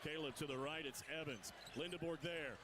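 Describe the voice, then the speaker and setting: A man calling a live college basketball game on radio, his voice climbing with each big play. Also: a little high. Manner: rapid, rising excitement on big plays. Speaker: play-by-play announcer. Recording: broadcast with loud arena noise.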